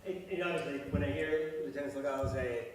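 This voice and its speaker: A man with a slightly high voice talks quickly and restarts his sentences as he asks for follow-up. He is off the mic in an echoey hall with a long reverb tail. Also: far from the mic, a little hiss or hum. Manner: rushed, restarts often. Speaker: local group member questioning a speaker. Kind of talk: public meeting Q&A. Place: school meeting hall.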